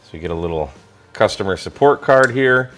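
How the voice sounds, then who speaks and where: Male American product reviewer, low-pitched, enthusiastic and colloquial, casual hands-on demo delivery. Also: expressive, a little fast, a bit bright, faint music behind.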